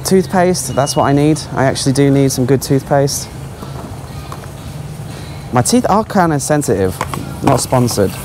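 A man's voice: mid-range voice, expressive, unhurried, pauses often, over music. A man giving casual, offhand, exclaiming commentary as a travel vlogger walking around a supermarket. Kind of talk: casual running vlog commentary. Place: large supermarket aisles.

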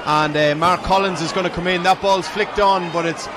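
Irish male play-by-play commentator, fairly high voice, calling Gaelic football from the stand through very noisy surroundings. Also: steady tone, moderate pace. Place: outdoor stadium stand. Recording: loud crowd and outdoor stadium noise. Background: loud crowd and ground noise.

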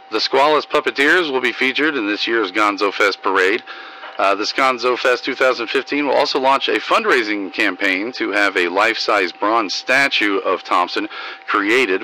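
A man hosting, reading scripted material in a declamatory, deliberate way. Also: lowish voice, pushed and bright, slight background noise, low-quality recording. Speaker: host. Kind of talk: scripted news narration and poem reading.

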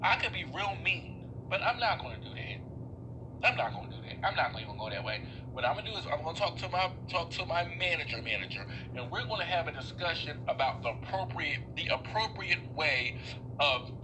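A young man rants into a phone held low at arm's length, swearing freely. His fairly high voice swings up and down as his feelings rise. Room noise and passing vehicles sit clearly behind him. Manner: animated, swearing, voice swinging up and down in pitch. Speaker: vlogger venting on a live stream. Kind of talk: casual ranting monologue. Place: indoors in a workplace room. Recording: phone live stream with clear background noise.

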